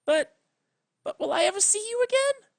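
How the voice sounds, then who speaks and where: Man voicing a high-pitched, frightened young pony princess. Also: animated, moderate pace, creaky, exaggerated voice. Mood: frightened, pleading.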